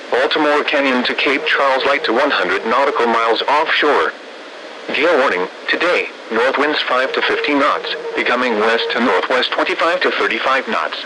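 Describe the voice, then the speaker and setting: A synthesized male voice reading scripted weather bulletins in a measured, impersonal, formulaic way over weather radio. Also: mid-range voice, sharp tone. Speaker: automated weather radio announcer. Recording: noisy weather radio broadcast.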